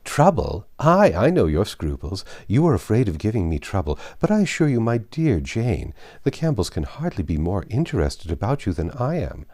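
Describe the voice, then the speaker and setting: A man's voice: fairly low pitch, big pitch swings, normal speed, hardly any pauses, darkish tone. A man voicing an officious, self-important married woman in a classic novel reading, pompous, gushing and patronising. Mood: insistent, patronising.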